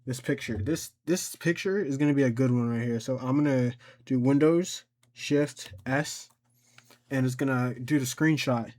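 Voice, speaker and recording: A man talking casually and unscripted through a screen-recorded tutorial, halting often, repeating his instructions and correcting himself. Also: mid-range voice, darkish tone. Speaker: tutorial creator.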